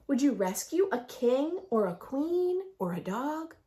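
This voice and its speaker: A woman reading a picture book aloud for young children in an expressive, sing-song storytelling manner, adding her own asides. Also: high voice, a little slow. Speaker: children's read-aloud host.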